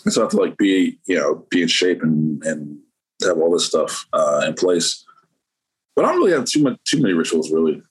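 A man's voice: low-pitched, sing-song, brisk, husky, low-quality recording. A man, a painter, talking casually as an interview guest, rambling with lots of fillers and restarts.

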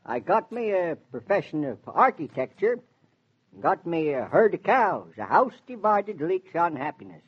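A man's voice: a little high, very animated, normal speed, breathy edge. An actor playing a folksy, talkative older handyman with a rural American accent, rambling in homespun wisdom in 1940s radio drama style. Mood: teasing, sage. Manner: rustic, rambling, homespun philosophizing. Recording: dull vintage 1940s radio recording.

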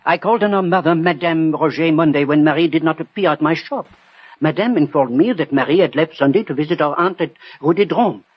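Anxious, husky French perfumer. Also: male voice, a little high, brisk. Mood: anxious, careful. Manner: hesitant, formal. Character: anxious, deferential French perfumer, emotional and evasive. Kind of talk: 1960 radio drama acting. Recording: dull old radio broadcast.

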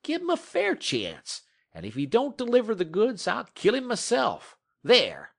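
A man voicing a blunt, rough frontier dog musher who talks in a folksy drawl and drops his g's. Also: high voice, wildly swooping pitch, unhurried, voice pushed up, full character voice. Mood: pleading.

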